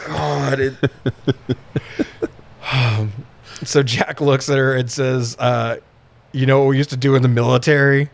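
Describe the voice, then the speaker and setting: A man with a mid-pitched voice hosts a casual, comedic film recap. He talks like a podcaster among friends, sarcastic and freely swearing as he goes through scenes. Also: steady tone, slow, vocal fry, pauses often. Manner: sarcastic, heavily profane. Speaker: podcast co-host recapping films. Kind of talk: casual comedic movie-review chat.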